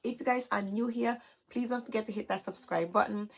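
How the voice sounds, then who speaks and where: Hoarse, scratchy-voiced woman vlogger. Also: a little high, fairly quick. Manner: chatty, many "you guys" and "you know". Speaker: beauty vlogger. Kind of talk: casual haul chat to camera.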